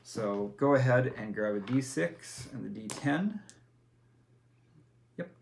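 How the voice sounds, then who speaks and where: A man's voice: mid-range voice, animated, takes it slowly, gravelly, a little hiss or hum. A man walking a player through tabletop character creation, patient and full of fillers and self-corrections. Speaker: game master. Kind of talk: explaining game rules step by step.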